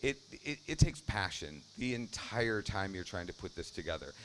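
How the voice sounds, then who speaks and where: A man with a lowish voice speaks off the cuff at an outdoor ceremony, upbeat and repeating words as he builds up. Faint crickets hum behind him. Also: moderate pace, poor audio. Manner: energetic, congratulatory, repeats himself. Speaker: funding partner speaker. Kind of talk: informal ceremony remarks. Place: outdoors at a ribbon cutting.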